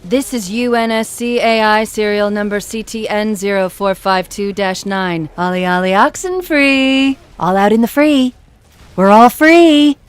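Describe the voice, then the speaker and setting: A woman playing an unhinged, wistful female artificial intelligence in a video game, speaking in a slow, deliberate and eerie way. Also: mid-range voice, clean tone, lightly acted. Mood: detached, eerie.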